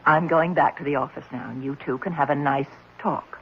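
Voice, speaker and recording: A woman's voice: low voice, fairly even intonation, brisk, vocal fry, a bit bright. An actress playing a stern, matter-of-fact woman who runs an orphanage in a 1970s radio drama. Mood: brisk. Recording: muffled, noisy vintage radio recording.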